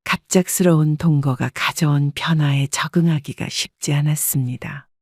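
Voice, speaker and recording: A woman calmly reading a scripted first-person family story as narrator. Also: deep voice, no room sound, studio quality.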